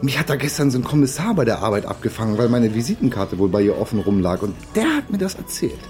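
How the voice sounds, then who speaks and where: Ein Schauspieler spielt in einem Krimi-Hörspiel einen unbeholfenen, zögerlich ausweichenden Mann. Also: male voice, medium pitch, sing-song, quick pace, a little mellow, soft background music, lightly acted. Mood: probing. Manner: hesitant, awkward, evasive. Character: awkward, evasive man snooping on a date. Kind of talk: radio crime drama dialogue.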